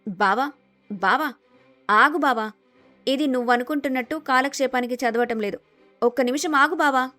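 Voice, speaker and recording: A woman's voice: high voice, normal speed, pauses often, bright, forceful tone, no room sound, soft background music. A woman voicing a clever young village daughter-in-law, earnest and respectful but firm, in a story. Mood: pleading.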